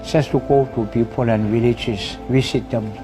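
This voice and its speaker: A man's voice: medium pitch, steady tone, moderate pace. Kiribati-accented man over background music. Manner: often ends phrases with "eh?". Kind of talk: personal testimony to camera in a mission film. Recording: music bed behind the voice.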